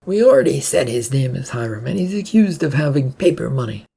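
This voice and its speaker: Narrator doing a male police officer, hostile, snappish, curt, gravelly, storybook dialogue. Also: medium pitch, animated, brisk, mellow. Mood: irritated.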